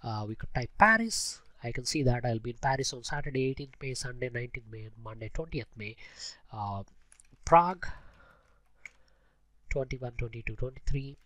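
Male screencast instructor, mid-pitched, audible head cold, informal and halting step-by-step delivery, dry sound. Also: lively intonation, a bit bright, dry recording. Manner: explains step by step, with frequent hesitations. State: voice affected by a cold. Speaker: host of a spreadsheet tutorial channel. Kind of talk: informal screencast tutorial narration.

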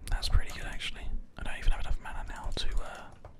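A person whispering ASMR commentary over video gameplay, close to a binaural microphone. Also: quick pace. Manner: whispering throughout. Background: keyboard typing and mouse clicks.

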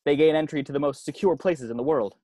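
A man's voice: on the high side, fast talker, mellow, dry recording, studio quality, close to an everyday voice. A man playing a cocky, secretive former hand model with a dramatic, knowing, slangy delivery in an amateur comedy script read. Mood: grave, confiding. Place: indoors at home on a video call. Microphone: video call.

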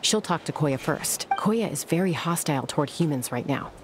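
Low-voiced composed gunslinger woman. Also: expressive, moderate pace, noisy background. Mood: measured. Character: composed adult woman, bird-raised gunslinger. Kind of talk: video game story dialogue. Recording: game music behind.

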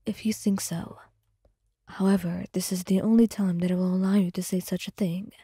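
A woman voicing a gentle, protective male dragon spirit, speaking in a soft, formal whisper. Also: medium pitch, fairly even intonation, moderate pace, breathy edge, no echo, clean studio sound. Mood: earnest.

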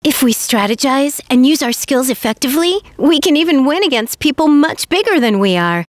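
A woman's voice: high-pitched, lively intonation, a little fast, no echo, clean studio sound. A woman voicing a polite, earnest young princess warrior in an anime game. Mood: warm, earnest.

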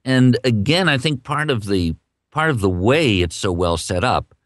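A man interviewing in a conversational way, halting and restarting phrases as he works toward his point. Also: mid-range voice, expressive, dry recording, clean studio sound.

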